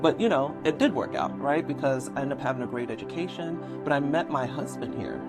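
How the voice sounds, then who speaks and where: A woman in her forties from New York, telling personal stories as an interview guest in an animated way, acting out remembered dialogue. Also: sits low, fairly quick, loud music behind the voice. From Brooklyn, New York, USA. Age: 40s. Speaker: interview guest, lawyer and law professor.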